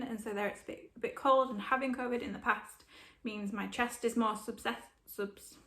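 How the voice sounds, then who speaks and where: A woman's voice: fairly high pitch, fairly bright tone. A woman vlogging at home, chatty and rambling, sniffly with a sore throat. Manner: chatty, rambling, self-deprecating. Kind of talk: casual chat to camera. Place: home room indoors. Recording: roomy echo.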